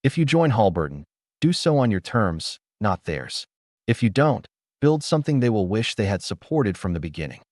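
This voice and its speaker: A man voicing a calm, dignified billionaire. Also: fairly low pitch, normal speed, no room sound, clean studio sound. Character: calm, composed male billionaire mentor.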